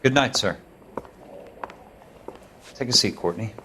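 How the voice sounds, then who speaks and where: An actor playing a hard-bitten war veteran who talks bluntly and scornfully. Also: male voice, lowish voice, takes it slowly, noisy background. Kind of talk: video game cutscene acting. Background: music score.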